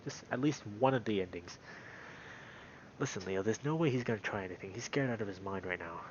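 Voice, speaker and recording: A man reading a visual novel aloud as a let's-play host, in an expressive, dramatic storytelling style. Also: on the low side, brisk, highs cut off, slight background noise. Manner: dramatic, with loud reactions. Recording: music behind the voice. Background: game music.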